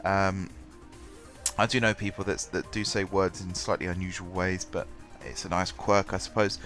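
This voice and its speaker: A man talking over his gameplay, sounding bunged up from a cold. His voice sits fairly low, and he rambles through the commentary with plenty of ums and self-corrections. Soft music plays quietly in the background. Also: level tone, moderate pace. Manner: rambling, many ums and restarts. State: congested with a cold, stuffy voice. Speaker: gaming channel host. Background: faint background music.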